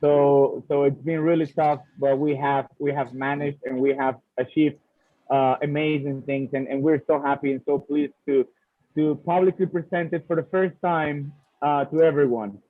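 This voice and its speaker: A man in his thirties with a Colombian accent speaks casually as a presenter. His voice sits a little high. The sound is dry and thin, like a phone line on an online call. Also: fairly even intonation, moderate pace, dry recording. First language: Spanish. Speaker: company presenter. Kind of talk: casual talk and informal presentation. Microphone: video call.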